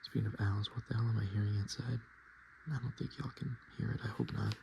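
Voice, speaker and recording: Dude asmr, low soft voice slipping into breathy whisper, super gravelly, takes his time. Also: little pitch movement, highs cut off, background noise. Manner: unhurried, informal, self-correcting. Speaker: ASMR host browsing a tabletop game book.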